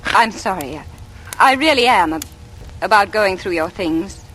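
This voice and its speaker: An earnest, suspicious Englishwoman in a 1940s radio thriller. She speaks in a clipped, probing way with very lively pitch, on a slightly noisy old recording. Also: measured pace. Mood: cool probing.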